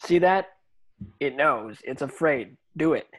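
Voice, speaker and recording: A man's voice: fairly high pitch, animated, a little slow, a bit bright. Man reading earnest, urgent teenage hero. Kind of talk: amateur screenplay table read.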